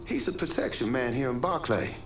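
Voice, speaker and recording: Game informant: actor as chatty male crook, animated, joking, slightly roomy echo. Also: medium pitch, a little fast, faint music behind, lightly acted. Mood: cocky, amused. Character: cheeky, chatty small-time crook informant. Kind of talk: video game cutscene acting. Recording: roomy echo, faint background noise.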